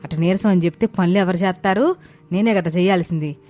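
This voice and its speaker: A woman playing a scolding, scheming older mother-in-law in a dramatised family story, with a sharp, accusing manner. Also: on the low side, fast talker, soft background music, close to an everyday voice. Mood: angry nagging. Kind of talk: dramatised moral story acting.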